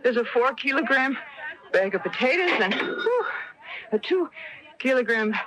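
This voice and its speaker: Man as bright, very high-voiced puffing shopper for an old radio ad. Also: big pitch swings, a little slow, exaggerated voice, rough recording. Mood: flustered. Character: supermarket shopper fetching groceries in a radio skit. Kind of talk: 1970s radio advert acting.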